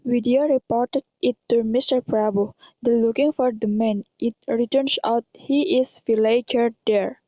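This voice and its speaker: A young woman with an Indonesian accent narrates an amateur radio drama. Her voice is fairly high and mellow, with a stilted read-aloud delivery. The recording is dull. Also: fairly even intonation, a little slow, hardly any pauses, dry recording.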